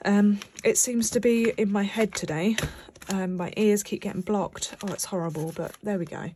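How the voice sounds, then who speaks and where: A woman's voice: mid-range voice, fairly even intonation, moderate pace, dark tone, slight background noise. A young British woman, bunged up from a cold, chatting casually about her budget as she stuffs cash envelopes. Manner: chatty, rambling, many fillers and restarts. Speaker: budgeting vlogger. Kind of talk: casual chat to camera while cash stuffing.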